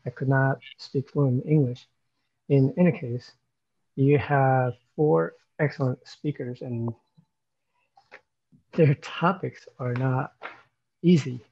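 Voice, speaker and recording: Man from Taiwan, raspy, encouraging, fillers, dry muffled call audio. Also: medium pitch, fairly even intonation, slow pace, pauses often, no room sound. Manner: warm, encouraging, filler-heavy with you know. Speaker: guest. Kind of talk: friendly feedback. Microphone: video call.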